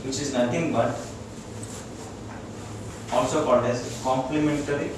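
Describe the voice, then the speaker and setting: A man's voice: mid-range voice, steady tone, unhurried. A man with an Indian accent, a doctor giving an informal lecture to a small group, explaining in short repeated phrases and checking that his listeners follow. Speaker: doctor teaching integrative medicine. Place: room with a small audience. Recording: echoey room, distant mic, noisy.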